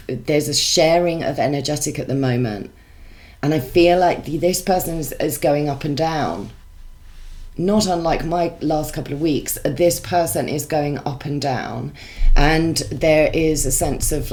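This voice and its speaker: A woman with a congested voice, chatting through a tarot reading with many musing pauses and restarts. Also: low voice, a little mellow. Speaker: tarot reader.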